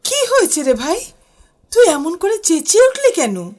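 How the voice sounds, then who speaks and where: An actress playing a doting, chatty elder sister in an audio drama, affectionate and fussing. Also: female voice, very high-pitched, wildly swooping pitch, moderate pace, darkish tone, full character voice. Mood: alarmed, concerned. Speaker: supporting character.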